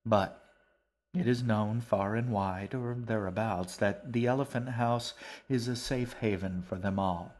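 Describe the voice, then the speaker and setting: A man's voice: lowish voice, a little slow, darkish tone, close to an everyday voice. A man doing a grand, wordy old gent. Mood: kindly. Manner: long-winded, formal, good-natured. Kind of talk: audiobook character voice.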